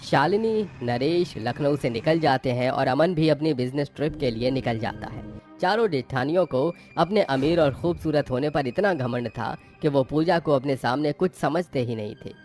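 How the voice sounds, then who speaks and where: Male story narrator over soft music. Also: mid-range voice, expressive, moderate pace.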